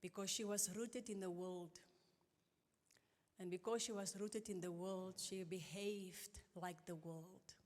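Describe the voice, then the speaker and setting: A middle-aged woman giving an earnest, halting and emotional personal testimony at a church conference. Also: on the low side, fairly even intonation, darkish tone. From South Africa. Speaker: conference guest speaker. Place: church auditorium. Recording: long reverb of a large church hall.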